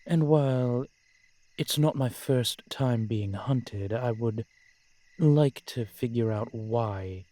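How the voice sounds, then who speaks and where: A man playing a courteous, centuries-old vampire in an audio roleplay, speaking in a measured, formal, genteel way with deliberate pauses. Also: medium pitch, mellow, lightly acted. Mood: troubled, resolute. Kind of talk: scripted audio roleplay addressed to the listener.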